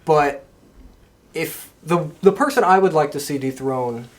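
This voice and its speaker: Casual podcast host, slightly high-voiced man. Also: measured pace. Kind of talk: casual wrestling discussion.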